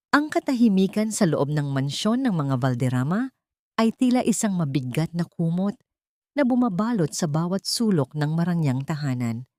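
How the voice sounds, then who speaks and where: Woman narrating drama, lower voice, dry studio. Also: a little mellow, no echo. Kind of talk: scripted dramatic story narration.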